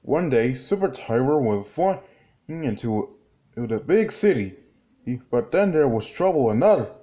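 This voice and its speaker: A man reading a children's story aloud in an animated, exclamatory way, with halting phrasing. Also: mid-range voice. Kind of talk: animated read-along storytelling. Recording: dull lo-fi sound, faint noise, clicks.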